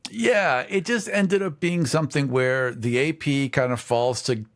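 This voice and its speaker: A man's voice: mid-range voice, a little slow. Podcast co-host, male, mid-range voice, playful ribbing, frequent laughter. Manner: teasing, laughs often. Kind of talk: casual podcast chat.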